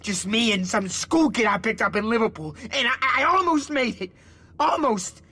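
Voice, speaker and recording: Boastful female villain for audio drama, high-set voice with a husky, rough edge. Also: moderate pace, bright, forceful tone. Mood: boastful, rueful.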